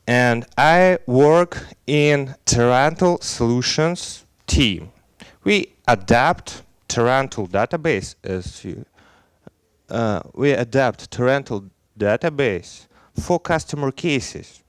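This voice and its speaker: A man in his thirties from Belarus with a Belarusian accent, giving a technical conference talk in non-native English, halting and full of fillers, on a headset mic on stage. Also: medium pitch, animated, smooth, no room sound, studio quality. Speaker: conference speaker.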